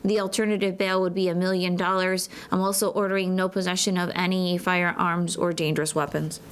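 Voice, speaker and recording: Flat-toned American woman ruling in court. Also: lowish voice, brisk, clean tone. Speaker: court commissioner. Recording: courtroom audio with faint noise.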